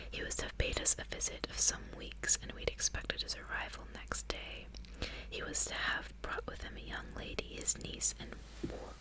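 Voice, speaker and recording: A woman reading aloud in a soft, intimate, breathy whisper, close to the microphone in an ASMR style. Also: rough recording. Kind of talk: whispered ASMR audiobook reading with casual asides.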